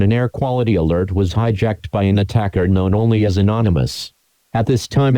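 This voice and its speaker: A man reading scripted formal emergency broadcast announcements in a flat, impersonal, measured way. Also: lowish voice, dry recording.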